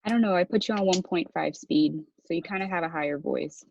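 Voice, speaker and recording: A woman's voice: fairly low pitch, a little fast, vocal fry, darkish tone, a little hiss or hum. A woman, a student, talking hesitantly on a video call and filling her talk with "like". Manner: hesitant, many likes. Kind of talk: casual discussion. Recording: dull lo-fi sound.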